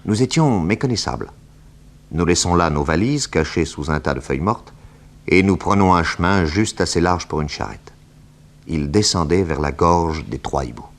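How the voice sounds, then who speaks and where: An actor playing a composed detective who narrates his adventure in measured, precise French, 1960s radio drama style. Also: deep voice, animated. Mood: grave, suspenseful.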